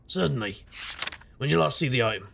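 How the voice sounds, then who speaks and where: An actor playing a wry, world-weary supernatural private detective, narrating a noir audio drama in a gravelly, husky, deadpan voice. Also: male voice, mid-range voice, fast, slight background noise. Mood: dry, weary.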